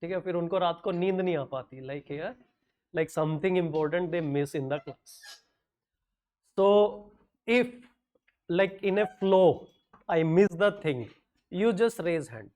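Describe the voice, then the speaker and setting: A man's voice: sits high, a little mellow. A middle-aged man from North India, whose first language is Hindi, teaching a classroom lecture with a North Indian accent and joking asides. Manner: mixes English with quick Hindi asides, joking.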